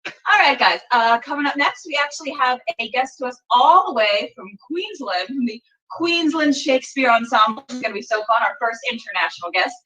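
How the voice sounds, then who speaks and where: A woman hosts a show informally in a high voice that swings up and down. Her delivery is casual, excitable and exclamatory. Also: brisk, poor audio. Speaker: show host. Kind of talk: casual, excitable hosting and interviewing.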